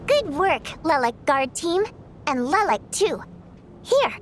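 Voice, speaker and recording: A woman voicing a playful female water imp stage performer in a game, lively and teasing. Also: high-pitched, a little hiss or hum, full character voice. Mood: reassuring. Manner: lively, teasing, quick to protest. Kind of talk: video game voice acting.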